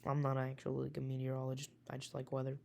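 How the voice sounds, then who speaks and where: A man with a raspy voice, a weather enthusiast casually talking through a forecast with many hesitations and self-corrections. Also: medium pitch, monotone, fast talker, darkish tone, slight background noise.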